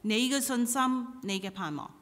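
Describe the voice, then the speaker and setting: Female church interpreter, middle-aged, fairly high voice, emphatic delivery in a big echoing hall. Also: moderate pace, a bit bright. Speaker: sermon interpreter. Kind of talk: consecutive sermon interpretation. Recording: reverberant hall through PA.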